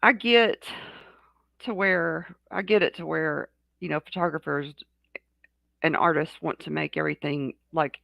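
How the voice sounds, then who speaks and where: Gravelly, rambling talk-show co-host. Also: mid-range voice, steady tone, a little slow, no echo, highs cut off. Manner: rambling, frank, many restarts. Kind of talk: casual chat.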